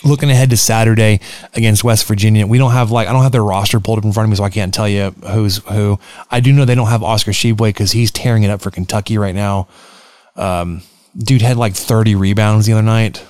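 A man's voice: fairly low pitch, steady tone, darkish tone, clean tone, dry recording, clean studio sound. A man co-hosting a sports podcast in casual chat, rambling through stats with frequent fillers and restarts. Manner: rambling, filler-heavy, halting. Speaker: podcast co-host.

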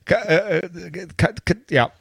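Slow-paced male game master. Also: sits high, vocal fry, a bit bright, husky, no room sound, clean studio sound. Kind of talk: narrating an improvised tabletop game.

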